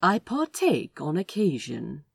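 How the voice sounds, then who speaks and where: A woman voicing a genteel, friendly man in a fan fiction audio story, speaking politely with a husky, creaky voice. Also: deep voice, huge pitch swings, slow pace, exaggerated voice. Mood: casual, amiable. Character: Aziraphale, genteel, friendly man who smokes on occasion. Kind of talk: fan fiction podfic character acting.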